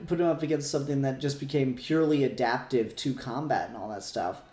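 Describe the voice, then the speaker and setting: A man co-hosting a podcast in casual, opinionated discussion, often halting to repeat and rephrase himself. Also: mid-range voice, dark tone. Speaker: podcast co-host. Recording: roomy echo, faint music.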